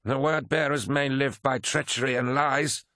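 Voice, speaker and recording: A man's voice: a little high, lively intonation, moderate pace, dry recording, clean studio sound, voice pushed up. A man voicing a harsh, uncompromising, loyal warrior captain in an audiobook, speaking bluntly with a hard snarl. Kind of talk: dramatic audiobook character acting.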